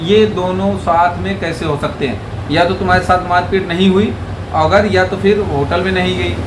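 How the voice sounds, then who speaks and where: High-pitched man explaining law, echoey noisy room. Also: fairly even intonation, moderate pace. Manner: conversational, rhetorical questions, addresses viewers directly. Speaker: legal advisor presenting a video. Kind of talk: informal explainer talk to viewers. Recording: echoey room with clear background noise.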